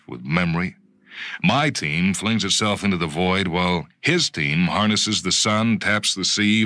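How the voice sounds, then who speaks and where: Deep-voiced man reading a story. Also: bright, forceful tone, dry recording. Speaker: narrator. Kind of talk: dramatic first-person short story reading.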